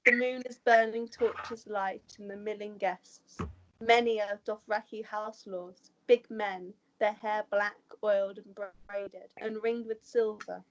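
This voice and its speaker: Medium-pitched woman narrating a script. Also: steady tone, takes it slowly, highs cut off. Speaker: narrator reading stage directions. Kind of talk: scripted narration at an amateur table read.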